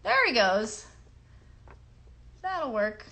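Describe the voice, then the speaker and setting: A woman's voice: a little high, sing-song, very slow, sharp tone, rough recording. A woman hosting a children's craft show, giving simple step-by-step directions in an encouraging way and putting questions to the young viewers.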